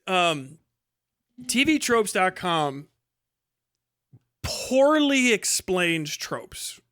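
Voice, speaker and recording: Guy livestreamer ranting casually, higher voice, really dry close sound, swears a lot. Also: very animated, extremely slow, pushed and bright, clear, resonant tone, dry recording. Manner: rambling, sweary, emphatic. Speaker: livestream host. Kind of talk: casual opinionated commentary.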